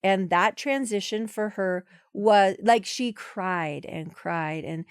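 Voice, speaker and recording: A woman in her forties speaks as a podcast guest in a chatty, emphatic way, often restarting her thoughts. Her voice sits at a middle pitch. The recording is dry and close. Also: takes it slowly, clean tone, no echo. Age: 40s. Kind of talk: casual conversational interview.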